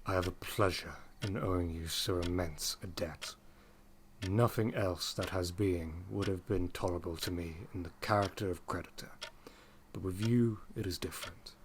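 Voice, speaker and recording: An actor playing a brooding, commanding gentleman in a gothic audio drama, speaking formally and with intensity. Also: low voice, steady tone, moderate pace, slight background noise. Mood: tender, intense.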